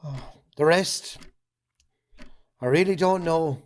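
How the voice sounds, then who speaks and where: A man with an Irish accent acting a defensive, guilt-ridden farming man in an amateur drama, speaking haltingly. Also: on the high side. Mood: halting, remorseful.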